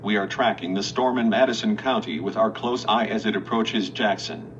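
Man, news anchor, low-pitched, piercingly bright, weather broadcast delivery, lo-fi recording with background noise. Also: moderate pace. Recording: dull, noisy lo-fi recording.

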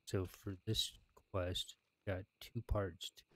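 Male game streamer reading quests aloud, slow halting delivery, faint background noise. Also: deep voice, level tone, fairly bright tone. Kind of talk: reading quest text aloud with plain commentary.

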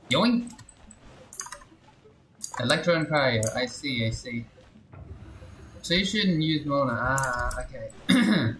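A game streamer with a bright, forceful voice talks in unhurried bursts. The commentary is casual and excitable, with repeated phrases and little exclamations, in a roomy space with game music behind. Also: mid-range voice. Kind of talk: casual live gameplay commentary.

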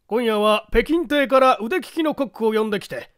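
A man's voice: very high-pitched, normal speed, a bit bright, clear, resonant tone, dry recording, studio quality, voice pushed up. A man voicing a proud, genial aristocrat who speaks in a grand, formal, declamatory way. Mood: hearty, announcing. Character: proud, genial aristocratic uncle hosting a banquet.

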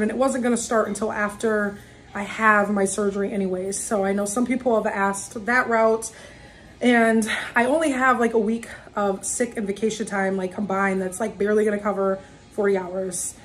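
A woman's voice: mid-range voice, fairly even intonation, brisk, slight background noise. A woman in her thirties, a mother of three, chatting casually and rambling to a camera held at arm's length in her home. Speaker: vlogger, single mom of three. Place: home dining area indoors. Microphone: phone or camera at arm's length.

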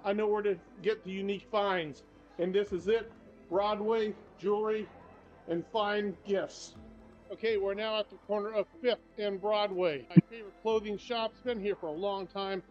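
Older male vlogger, upbeat and chatty walking-tour guide, fairly high voice, camera-mic sound with faint shop music. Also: fairly even intonation, normal speed, darkish tone. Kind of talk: casual walking tour narration. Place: shops and streets of a small town, indoors and out. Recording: slightly dull sound, faint music behind.

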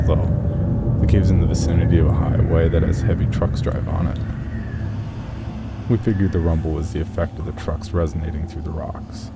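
A man with a very low, gravelly voice narrates a first-person journal story. His delivery is that of an audio storyteller, with music playing clearly behind him.

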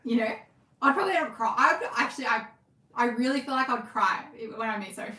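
Fast-talking young Australian woman, giggly. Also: on the high side, expressive, sharp tone, roomy sound. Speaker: livestream host, scrunchie maker. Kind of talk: casual live chat reading comments. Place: home sewing room, indoors.

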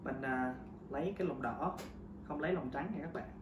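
A man's voice: medium pitch, moderate pace, distant mic. Chatty man demonstrating recipe in echoey kitchen. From Vietnam. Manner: relaxed, chatty, frequent hesitation fillers. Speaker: home cooking vlogger. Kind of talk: casual how-to demonstration. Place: home kitchen, indoors. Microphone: camera mic on counter. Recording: roomy kitchen, voice off-mic, loud background noise.

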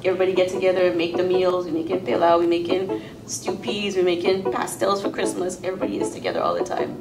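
A woman talking casually and briskly about family meals on a panel. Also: lowish voice, lively intonation, vocal fry, reverberant, distant mic, background noise. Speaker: panelist, mother. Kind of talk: panel discussion. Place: meeting room.

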